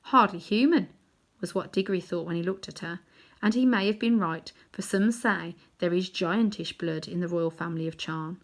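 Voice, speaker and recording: A woman reading a children's novel aloud in chapters, adding gentle asides to her listeners. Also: fairly low pitch, fairly even intonation, moderate pace. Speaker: storybook reader.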